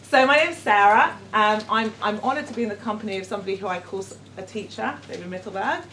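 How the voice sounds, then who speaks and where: A woman's voice: medium pitch, quick pace. London woman giving an echoey workshop talk. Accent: London English. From London, England. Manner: hesitant, many ums, warm and self-deprecating. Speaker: educator leading a workshop. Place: conference room with audience. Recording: echoey room, speaker far from mic, faint noise.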